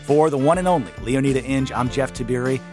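A man hosting a public radio news roundup from a studio, relaxed with joking asides. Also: mid-range voice, fast talker, over music. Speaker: radio show host. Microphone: studio microphone.

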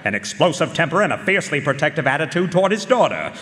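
A man's voice: on the high side, sing-song, fairly bright tone. A man playing a confident, polite and wry detective in a live radio mystery, with formal, teasing delivery. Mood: insinuating. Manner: formal, teasing, deliberate build-up. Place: live performance hall. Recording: reverberant stage in a large hall.

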